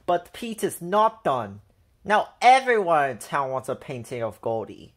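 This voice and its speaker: Low-voiced woman reading a storybook, lively pitch. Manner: expressive, deliberate delivery for young children. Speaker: picture book reader for children. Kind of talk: storybook read-aloud.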